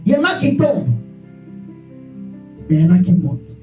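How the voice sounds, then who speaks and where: A man's voice: sits high, big pitch swings, moderate pace, darkish tone, highs cut off. A man preaching a sermon in an emphatic, exhorting manner, repeating phrases and putting rhetorical questions to his listeners. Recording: echoey room, distant mic, faint music.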